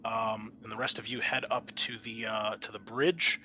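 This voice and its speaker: A man's voice: on the low side, little pitch movement, moderate pace, sharp tone. A man working as game master in a tabletop roleplaying game, explaining things in a conversational way. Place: online voice call.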